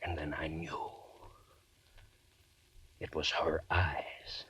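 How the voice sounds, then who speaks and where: Deep-voiced haunted man in old radio horror. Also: little pitch movement, husky, exaggerated voice. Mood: brooding fear. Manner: intense, halting, melodramatic, from hushed dread to shouting. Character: haunted, guilt-ridden man unravelling into terror. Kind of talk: 1940s radio horror acting, first-person narration. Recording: vintage 1940s radio recording.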